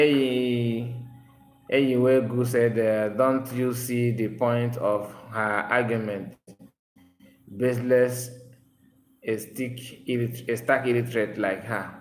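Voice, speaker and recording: A man, an Igbo speaker, hosting a live call-in stream and talking emphatically. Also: mid-range voice. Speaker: livestream host. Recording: roomy room sound, faint noise.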